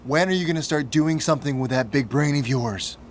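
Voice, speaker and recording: An actor playing a caring young college-student older brother with an earnest, encouraging manner. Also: male voice, mid-range voice, fairly quick, a little hiss or hum, lightly acted.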